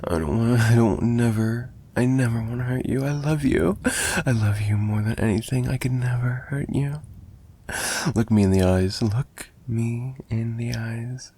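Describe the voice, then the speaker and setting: A man's voice: mid-range voice, moderate pace, mellow, husky, lightly acted. A man playing an obsessive, menacing mafia kidnapper in an ASMR roleplay, possessive and teasing, with a taunting delivery that swings between murmurs and giggles. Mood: desperate, adoring. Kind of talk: dramatic ASMR roleplay monologue.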